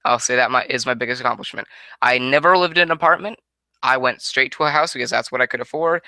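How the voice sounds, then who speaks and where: A man's voice: mid-range voice, fast, creaky, sharp tone, no room sound. A nineteen-year-old man from Connecticut answering viewer questions in a rambling, casual way, full of restarts, on a headset mic at home. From Connecticut, USA. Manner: rambling, many restarts and self-corrections. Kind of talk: casual Q&A monologue. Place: small room at home, indoors. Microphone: headset microphone.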